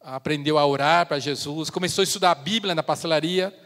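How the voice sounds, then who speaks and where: A man in his fifties from China, giving an earnest personal testimony as a missionary speaker in a church, with pauses and restarts. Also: on the high side, fairly bright tone. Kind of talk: testimony sermon. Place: church sanctuary. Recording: long church hall reverb.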